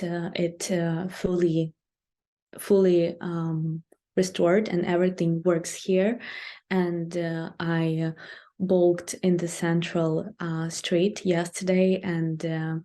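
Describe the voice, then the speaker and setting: A woman from Ukraine, a native Ukrainian speaker with a Ukrainian accent, answering interview questions hesitantly with many ums and pauses. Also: lowish voice, mellow. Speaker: guest, Ukrainian language teacher.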